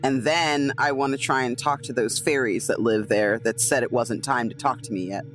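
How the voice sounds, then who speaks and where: A man's voice: medium pitch, fairly even intonation, normal speed, fairly bright tone, soft background music. A man playing a tabletop role-playing game, chatting casually about what his character will do.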